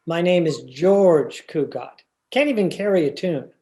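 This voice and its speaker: An earnest, flustered husband played by a man in an old-time radio comedy. He speaks slowly and hesitantly, with big swoops of pitch when exasperated. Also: animated, warm tone.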